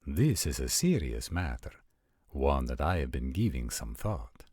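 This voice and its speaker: A stern vampire prince, played by a man, speaks in an extremely low voice. He is formal and measured, taking his time over each phrase in a dry, intimate roleplay drama. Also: big pitch swings, a little mellow, slightly rough, no echo, exaggerated voice. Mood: grave, authoritative. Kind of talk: scripted roleplay audio drama.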